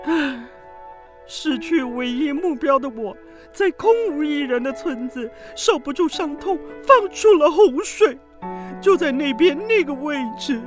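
A woman's voice: high voice, a little slow, over music. A woman with a Taiwanese Mandarin accent playing a lonely, self-doubting frog prince and former villain in a children's radio drama, dramatic and hesitant. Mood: sorrowful.

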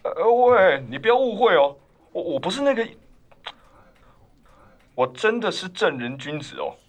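A nervous would-be boyfriend in a radio play. He is eager and easily flustered, stumbling over his words and over-explaining, his pitch swinging about. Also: big pitch swings, normal speed. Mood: flustered, defensive. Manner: stammering, hesitant, over-explaining. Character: flustered, eager man courting a woman before a first date. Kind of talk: radio drama acting.

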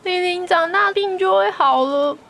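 A woman's voice: very high voice, moderate pace, fairly bright tone, a little hiss or hum. A woman voicing a sweet, whiny sick little girl with a thin childlike voice in a radio drama. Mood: soft, sleepy. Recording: music under the voice.

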